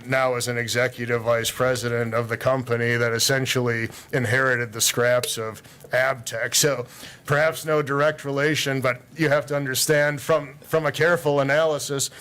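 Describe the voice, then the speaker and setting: A council member questioning at a city meeting, speaking in a careful, hesitant way with a flat delivery. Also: male voice, medium pitch, moderate pace, clear, resonant tone.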